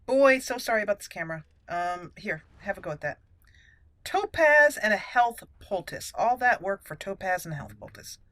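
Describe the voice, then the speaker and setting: A woman's voice: medium pitch, animated, normal speed, a bit bright, no echo. A woman hosting a roleplay playthrough, giving casual, chatty commentary and thinking aloud.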